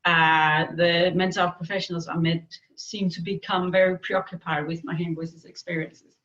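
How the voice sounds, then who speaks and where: Woman, Danish accent, reflective, pauses often, muffled lo-fi sound. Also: on the low side, little pitch movement, fairly bright tone. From Denmark. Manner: thoughtful, with frequent pauses and fillers. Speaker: guest, peer expert on hearing voices. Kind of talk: reflective interview answers.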